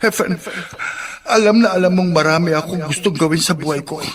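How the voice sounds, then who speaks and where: A man playing a guilt-stricken, ambitious father in a Filipino radio drama, anguished and halting, repeating himself. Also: on the high side, crowd noise, close to an everyday voice. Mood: panicked despair.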